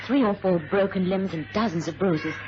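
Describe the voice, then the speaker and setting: A woman's voice: medium pitch, moderate pace, over music, close to an everyday voice. A woman playing a capable, helpful air hostess in a radio drama. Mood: matter-of-fact. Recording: noisy muffled vintage radio drama recording.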